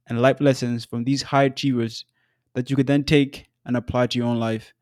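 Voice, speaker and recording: A young man from South Sudan hosts his own podcast, telling his story in a measured, earnest voice. His pitch sits in the middle range, and he drops in an occasional um or restart. The recording is dry and close, with almost no room sound. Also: no room sound. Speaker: podcast host. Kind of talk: scripted solo podcast narration.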